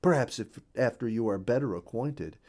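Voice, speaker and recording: A man's voice: mid-range voice, expressive, moderate pace, darkish tone. A man voicing a cheerful, friendly male bluebird in a children's storybook reading, chatty and neighborly.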